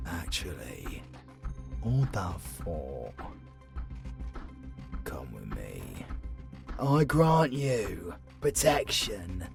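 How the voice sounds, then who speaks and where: A man playing a vengeful, honor-bound demon in a fantasy audio roleplay, theatrical and menacing yet gruffly hospitable. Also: low-pitched, very animated, faint music behind, exaggerated voice. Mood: wry, welcoming. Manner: menacing, theatrical, drawn-out phrasing.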